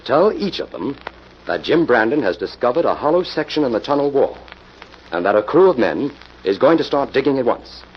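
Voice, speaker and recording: A man's voice: medium pitch, big pitch swings, fairly quick, natural voice. An actor playing an earnest, methodical male investigator in a golden-age radio drama. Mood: resolute. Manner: earnest, decisive, explanatory. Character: decisive, methodical male crime-fighting investigator hero. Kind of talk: 1940s radio acting. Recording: muffled, noisy old radio recording.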